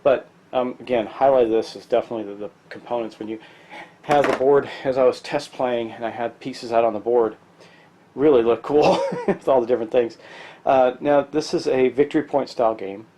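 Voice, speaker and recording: A man's voice: medium pitch, fairly quick, mellow, a little hiss or hum. A middle-aged man, stuffy from allergies, casually walking through a board game with plenty of ums and restarts. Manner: rambling, many ums and restarts. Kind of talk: casual tabletop game walkthrough.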